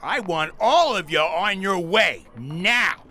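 A man's voice: sits high, animated, slow, pushed and bright, full character voice. A man playing a firm, no-nonsense saloon bartender in an audio drama. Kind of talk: radio drama acting.

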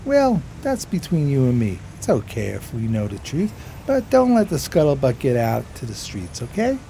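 A man's voice: medium pitch, wildly swooping pitch, normal speed, gravelly, dark tone, a little hiss or hum. An actor playing a simple, loyal, streetwise homeless man of 1920s New York, talking in folksy slang for radio theater. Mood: reassuring.

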